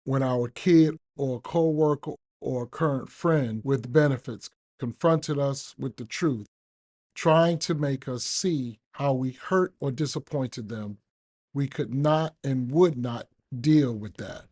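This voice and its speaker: A man reading a daily reflection aloud for a recovery podcast, with measured, deliberate phrasing. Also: mid-range voice, a little mellow. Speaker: guest reader on a recovery podcast.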